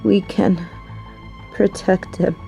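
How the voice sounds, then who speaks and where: A woman playing a young female mafia boss in an audio roleplay, possessive and menacing yet doting, speaking deliberately. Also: mid-range voice, little pitch movement, creaky, dark tone, faint music behind. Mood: uneasy, brooding. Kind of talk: scripted audio roleplay acting.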